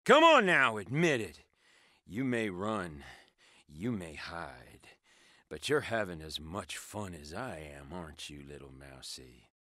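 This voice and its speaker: A man's voice: low-pitched, sing-song, slow, slight background noise, full character voice. An actor playing a sadistic, gloating male villain in a video game, taunting his prey with menacing glee. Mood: soft, sinister taunting. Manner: taunting, gleeful, menacing, swinging from murmurs to shouts. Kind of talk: video game combat voice lines.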